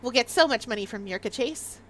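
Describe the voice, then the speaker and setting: A woman's voice: on the high side, lively intonation, fairly quick, fairly bright tone, a little hiss or hum. A Canadian woman with a light Maritime Canadian accent, chatting casually and giggling as she livestreams a game. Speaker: game streamer.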